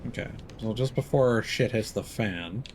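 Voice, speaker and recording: A man's voice: fairly low pitch, measured pace, slight background noise. A man giving casual live commentary as he plays a game, with faint game sound behind him. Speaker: game streamer.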